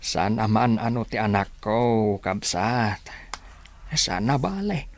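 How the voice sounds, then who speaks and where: An actor playing a caring, weary elderly father in an Ilocano village radio drama, with a gravelly voice. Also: lowish voice, animated, slow pace. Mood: worried, grateful.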